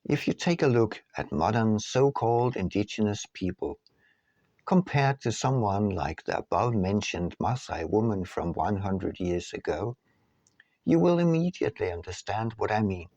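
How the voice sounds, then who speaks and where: Male author-narrator for audiobook, lowish gravelly voice, deliberate phrasing, lively intonation. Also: no echo, dull audio. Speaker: author reading own book. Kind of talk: audiobook-style scripted narration.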